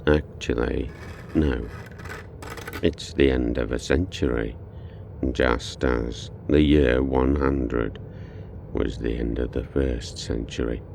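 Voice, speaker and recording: An actor playing an eerie, composed boy murderer in an audio horror drama, with a gravelly, deliberate, pedantic delivery. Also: rumbling low, fairly even intonation, a little mellow, a little hiss or hum, full character voice. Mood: coolly pedantic.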